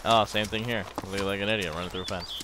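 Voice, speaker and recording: A man's voice: lowish voice, fast talker, background noise. A young man casually commentating on a zombie survival game with a friend, rambling and crude-joking, recorded on a headset mic. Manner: rambling, crude joking, breaks into excited bursts. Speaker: gameplay channel host.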